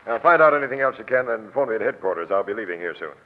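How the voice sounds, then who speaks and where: An actor playing a brusque, skeptical police captain in 1940s radio crime drama style, with gruff, slangy, sarcastic commands. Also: male voice, lowish voice, expressive, rapid-fire, background noise, lightly acted. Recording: tinny, band-limited old radio broadcast.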